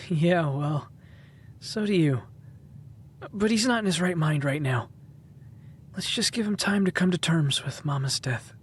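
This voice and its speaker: A woman playing an eager, brainy seventeen-year-old boy inventor from 1915, husky and enthusiastic, in a period audio drama. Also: low voice, lively intonation, measured pace, long pauses, a little hiss or hum. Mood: gently reassuring. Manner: enthusiastic, explanatory.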